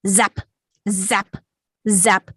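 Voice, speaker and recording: A woman's voice: medium pitch, little pitch movement, drawn-out words, husky, no echo, clean studio sound. A woman reading stage directions as scripted narration at a table read, in a dramatic storytelling manner.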